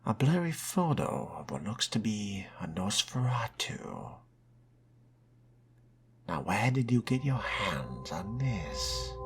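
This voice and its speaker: A man roleplaying through a game in character, musing to himself in a wry, measured and formal way. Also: lowish voice, big pitch swings, slight background noise. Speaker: roleplaying gamer narrating a playthrough.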